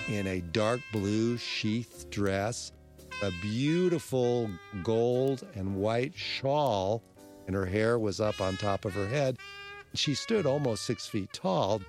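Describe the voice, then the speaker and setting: A man narrating a documentary in a measured, scripted way. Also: on the low side. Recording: music playing underneath.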